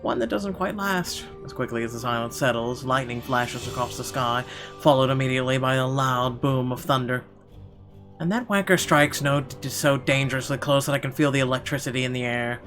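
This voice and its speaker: A man reading horror visual novel narration aloud as a let's player, in an expressive, dramatic storytelling style. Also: medium pitch, a little fast, faint music behind.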